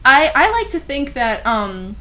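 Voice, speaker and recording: Female reviewer, fairly high voice, sarcastic and exasperated, reading fan fiction with mocking commentary. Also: sing-song, unhurried, pushed and bright. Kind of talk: dramatic reading with sarcastic asides.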